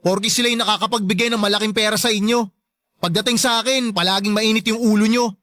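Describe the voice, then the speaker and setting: A wounded, resentful son in a radio drama. His voice is very high and sharp, recorded close and dry. He argues back in an aggrieved, pleading manner. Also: steady tone, quick pace, no room sound. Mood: hurt, defiant. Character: hurt, resentful adult son standing up to his mother. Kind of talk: Tagalog radio drama acting.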